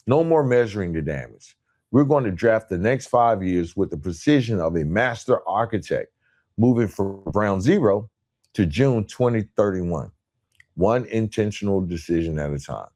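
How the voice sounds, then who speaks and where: A man hosting a motivational podcast, speaking in a deliberate, emphatic way and repeating phrases to drive them home. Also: on the low side, darkish tone. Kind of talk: motivational monologue, part scripted.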